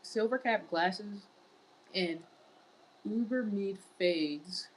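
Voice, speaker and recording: A woman's voice: mid-range voice, extremely slow, slight background noise, low-quality recording. A woman streaming live in casual chat, reading viewer messages aloud and answering them. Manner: wearing large headphones. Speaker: livestreamer.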